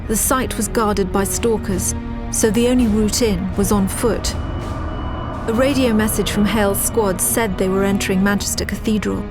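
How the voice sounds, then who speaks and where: An actress with a British accent playing a composed, wary military officer, narrating in a grave, measured, clipped way for a video game. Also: female voice, mid-range voice, steady tone, music in the background, close to an everyday voice.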